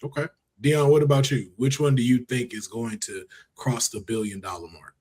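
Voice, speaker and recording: A man's voice: medium pitch, steady tone, moderate pace, a little mellow, breathy edge. Casual man hosting a podcast. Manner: informal, slangy phrasing. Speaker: podcast host. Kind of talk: casual panel podcast chat.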